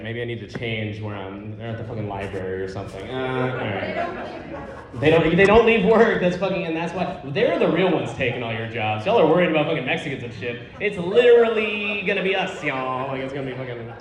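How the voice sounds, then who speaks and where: Rambling male stand-up comic, heavy echo. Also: on the high side. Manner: rambling, profane, conversational delivery. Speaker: stand-up comedian. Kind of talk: stand-up comedy set. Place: live comedy show, on stage. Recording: very echoey live comedy venue.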